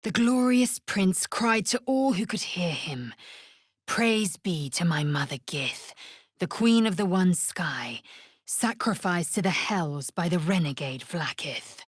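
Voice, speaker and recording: A woman's voice: lowish voice, expressive, slow pace, husky, no echo, clean studio sound, heavily acted. A woman playing a proud, stern githyanki warrior in a video game, with clipped, imperious delivery and formal, archaic phrasing. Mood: reverent. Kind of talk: video game character voice acting.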